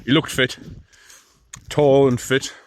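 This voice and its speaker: A man, talking in a deep, mumbling voice in a casual walking vlog outdoors, rambling with dry self-mocking asides. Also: flat delivery, slow. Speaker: long-distance hiker vlogger. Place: outdoors on a hill trail.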